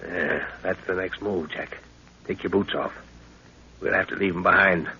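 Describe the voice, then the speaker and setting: A seasoned middle-aged expedition leader in a vintage radio adventure. His voice is low and gravelly with a firm, forceful edge, and he gives measured orders with fatherly calm. The old 1930s recording is dull and a little noisy. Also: exaggerated voice. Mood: instructing. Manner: measured, instructive, authoritative. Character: seasoned commanding jungle adventurer, fatherly, calm under danger. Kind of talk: 1930s radio drama acting.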